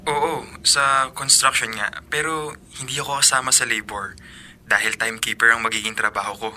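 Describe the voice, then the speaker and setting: A man's voice: medium pitch, fairly even intonation, normal speed, sharp tone, slight background noise. An actor playing a young man who keeps apologizing and making promises to his girlfriend. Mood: apologetic. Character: young man, absent boyfriend looking for work. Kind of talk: radio drama acting.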